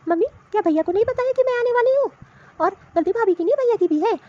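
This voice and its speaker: A woman's voice: extremely high pitch, expressive, quick pace, dark tone. A woman voicing a hurt, dutiful young married sister in a cartoon family story, plaintive and disappointed. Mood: hurt, dejected. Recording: dull, lo-fi cartoon voice track.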